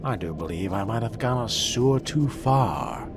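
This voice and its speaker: A man role-playing a sardonic, predatory vampire in solo game commentary, with wry, theatrical delivery and formal turns of phrase, under loud music. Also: fairly low pitch, expressive, normal speed. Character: sardonic, predatory fledgling vampire stalking prey. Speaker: gamer role-playing through a video game.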